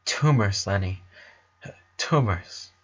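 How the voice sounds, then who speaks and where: A man plays a gentle but shaken mother in a storybook reading. She talks slowly and hesitantly, trailing off, on a dull recording. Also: lowish voice, expressive, husky, exaggerated voice. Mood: hesitant explaining.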